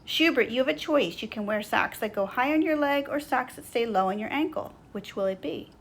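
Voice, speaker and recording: A woman voicing a patient, encouraging mother of a young boy in a children's picture book, speaking clearly and kindly. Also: on the high side, expressive, a little fast, voice pushed up. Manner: clear, patient, offering choices.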